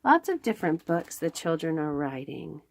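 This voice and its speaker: A woman reading aloud to young children as a storytime host, expressive, with dramatic emphasis and pauses. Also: deep voice, vocal fry.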